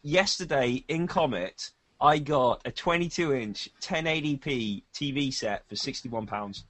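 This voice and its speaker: A man's voice: mid-range voice, a little slow, a bit bright, no room sound. A man co-hosting a podcast in casual, conversational tech talk. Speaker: podcast co-host.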